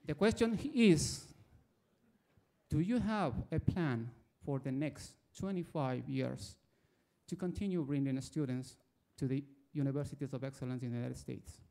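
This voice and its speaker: A man's voice: medium pitch, animated, normal speed, vocal fry, warm tone. A man in his forties from Ecuador with an Ecuadorian accent, telling a personal story from the floor before asking his question. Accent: Ecuadorian Spanish. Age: 40s. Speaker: audience member asking a question. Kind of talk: prepared speech from the floor.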